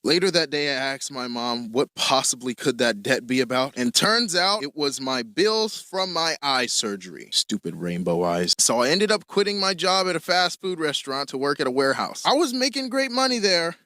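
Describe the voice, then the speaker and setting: A man's voice: medium pitch, big pitch swings, normal speed, few pauses, sharp tone, dry recording. A young man narrating a casual, scripted storytime as an animator, with playful, self-mocking asides. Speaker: animator and video creator telling their own story.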